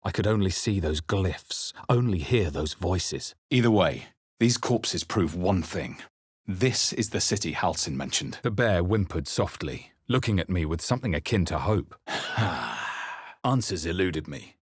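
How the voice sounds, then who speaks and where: A man voicing a wary, brooding adventurer hero in a fantasy video game, narrating in the first person with dramatic, measured intensity. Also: deep voice, expressive, husky, no room sound, clean studio sound, full character voice. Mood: tense, brooding. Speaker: video game player character voice.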